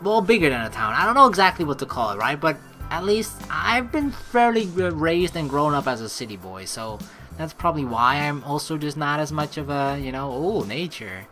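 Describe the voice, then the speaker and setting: Playful, animated, lively gamer with a woman's voice. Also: low-pitched, normal speed. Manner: playful, chatty, rambling. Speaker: let's player reading and reacting to a game. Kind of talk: casual gameplay commentary. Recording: music under the voice. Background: game music.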